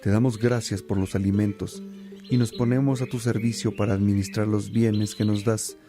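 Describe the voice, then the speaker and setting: A man's voice: lowish voice, little pitch movement, normal speed, over music, natural voice. A man playing a devout monk praying solemnly and reverently in a radio drama. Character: devout monk saying grace at table.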